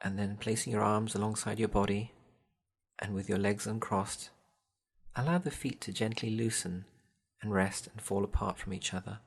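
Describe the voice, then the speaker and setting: A man with a low-pitched, husky voice leads a guided body scan meditation. He speaks gently and soothingly, giving measured instructions and leaving long pauses between phrases. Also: steady tone.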